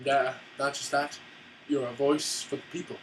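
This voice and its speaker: A man's voice: medium pitch, fairly even intonation, moderate pace, far from the mic, noisy background. A young man co-hosting a casual movie review, wisecracking and profane, with lots of "and whatnot". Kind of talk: casual banter.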